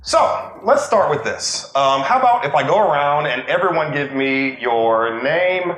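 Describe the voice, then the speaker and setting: A male host speaking in a measured, earnest way while moderating a panel discussion. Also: on the high side, lively intonation, fairly bright tone. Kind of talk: scripted intro, then moderating a panel. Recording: roomy echo.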